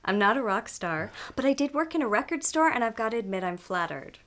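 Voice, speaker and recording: A woman's voice: a little high, sing-song, brisk, fairly bright tone. A woman playing a guarded, prickly American newcomer in a naturalistic radio drama. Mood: guarded, amused. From United States, Seattle.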